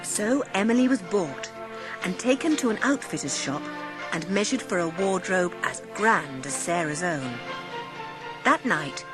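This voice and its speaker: A woman's voice: on the low side, expressive, measured pace. A woman narrating a scripted storybook for a dramatised audiobook. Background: music.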